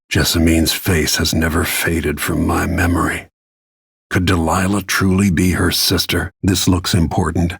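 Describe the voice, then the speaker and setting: An actor playing a grim, vengeful middle-aged assassin in a video game, brooding in a gravelly, husky voice with low-key menace. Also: male voice, no echo, clean studio sound, heavily acted. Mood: grim, determined. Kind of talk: dramatic game voice lines, brooding inner monologue.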